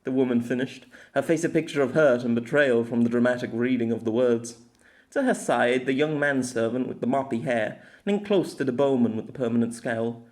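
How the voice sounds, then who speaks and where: A man narrates a fantasy tale in the manner of an amateur audiobook. His voice sits at a middle pitch, and he reads scripted prose with a storyteller's sense of drama. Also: fairly quick, darkish tone.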